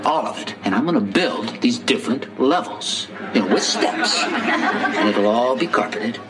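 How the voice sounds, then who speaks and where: Guy playing a wacky sitcom man hyped about his weird apartment plan, audience laughing loud. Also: medium pitch, lively intonation, unhurried, a crowd in the background, natural voice. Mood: enthusiastic. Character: eccentric man excitedly redoing his apartment. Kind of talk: sitcom acting.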